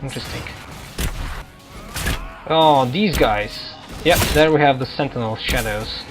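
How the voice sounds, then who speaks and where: Male gameplay commentator, casual and halting delivery, middle-pitched, over clear background game music. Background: video game music.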